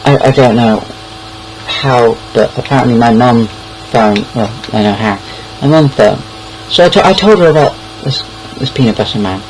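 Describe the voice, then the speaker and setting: A man's voice: medium pitch, normal speed, a little hiss or hum, rough recording. A young British man casually telling anecdotes in a rambling, hesitant way, repeating words as he goes. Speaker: online storyteller.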